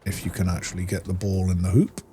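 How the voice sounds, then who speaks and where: A man giving casual playthrough commentary as a gaming host, thinking aloud in an unhurried way as he plays. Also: sits low, little pitch movement, warm tone, slight background noise.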